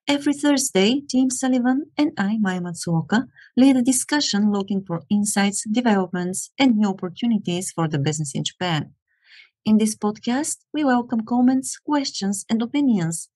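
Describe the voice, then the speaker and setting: A woman with a voice of middle pitch opens a podcast as co-host. She reads from a script in an even, measured way. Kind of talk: scripted introduction.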